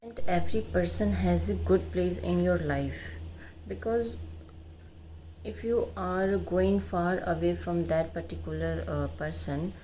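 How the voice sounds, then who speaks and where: A woman's voice: on the low side, unhurried, a little mellow, slight background noise, poor audio. A young Indian woman whose first language is not English, giving advice in a vlog, with many fillers and restarts. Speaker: vlogger. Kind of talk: unscripted advice monologue.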